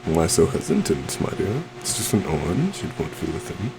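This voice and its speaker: A man's voice: low-pitched, sing-song, normal speed, background noise. A man playing a suave, possessive stage magician in an ASMR roleplay, theatrical and courtly, coaxing sweetly with a menacing undertone. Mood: playful showmanship. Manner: theatrical, courtly, soft coaxing with terms of endearment.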